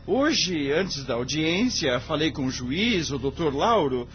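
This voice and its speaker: Man acting earnest high-voiced young Brazilian lawyer. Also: big pitch swings, slow pace. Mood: earnest, firm. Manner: polite, sincere, earnest. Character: earnest, upright young male lawyer, principled and humble. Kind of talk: audio drama acting. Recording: dull lo-fi audio, music underneath.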